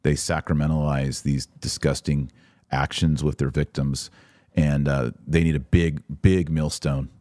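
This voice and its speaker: Low-voiced man hosting a live podcast. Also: fairly even intonation, a little slow, warm tone, dry recording, clean studio sound. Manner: informal, opinionated, emphatic. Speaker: podcast host. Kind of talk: live commentary, reading listener chat comments.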